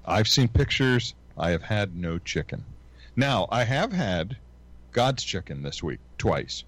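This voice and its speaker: A man with a low voice that swings lively up and down co-hosts a comedy podcast. His remarks come out dry and wry. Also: slow pace. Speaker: podcast co-host. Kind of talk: casual comedy chat.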